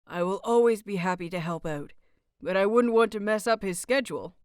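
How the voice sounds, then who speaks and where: A woman's voice: mid-range voice, brisk, clean tone, no echo, studio quality, lightly acted. A woman voicing a playful, cheerful and slightly bashful male teacher in an audiobook, with a light, teasing manner. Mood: nervous, polite.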